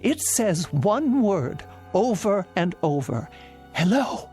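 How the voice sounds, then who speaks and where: A woman's voice: mid-range voice, very animated, takes it slowly, warm tone, faint music behind. An older woman playing an anxious, querulous elderly invalid in a radio drama, speaking formally and insistently. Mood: agitated, insistent.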